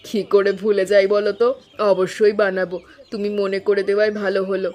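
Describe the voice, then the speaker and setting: A woman's voice: medium pitch, flat delivery, fairly quick, a little mellow, close to an everyday voice. A woman playing a caring, middle-aged mother in a cartoon, eagerly preparing for a family visit. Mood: warm, planning. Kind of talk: cartoon voice acting.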